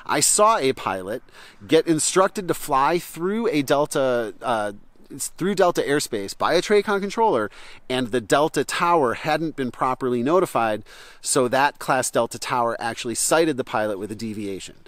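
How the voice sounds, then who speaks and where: A man's voice: medium pitch, lively intonation, normal speed, fairly bright tone, no room sound. A middle-aged American man, a flight instructor, explaining things casually to camera in a conversational way and checking in with his listeners. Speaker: flight instructor and channel host.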